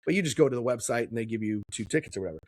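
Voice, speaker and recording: A man's voice: medium pitch, lively intonation, rapid-fire, a little mellow, no echo. A man, co-hosting a podcast and telling a long, rambling story in casual chat. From Kansas City, USA. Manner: rambling storyteller, acts out quotes. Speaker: podcast co-host.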